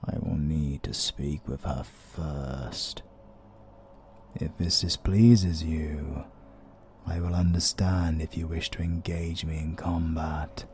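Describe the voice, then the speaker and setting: A British man playing an ominous, courteous interdimensional being in an audio roleplay, speaking in a formal, measured, detached way. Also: very deep voice, fairly even intonation, a little mellow, a little hiss or hum, heavily acted. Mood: formal, grave.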